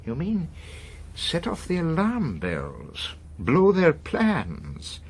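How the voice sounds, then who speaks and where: An ambitious, easily led government minister. He is pompous and theatrical, his pitch leaping about, with a husky edge to the voice. It is political drama acting. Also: wildly swooping pitch, drawn-out words, exaggerated voice. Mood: sceptical.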